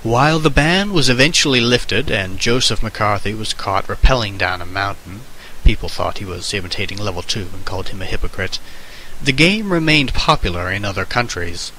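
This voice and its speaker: A man with a fairly low, bright voice reads a comic essay aloud as mock-serious history. His delivery is theatrical and scripted, like an amateur dramatic reading. A faint hiss sits on the homemade recording. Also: animated, normal speed, rough recording. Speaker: amateur reader. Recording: hissy amateur microphone recording.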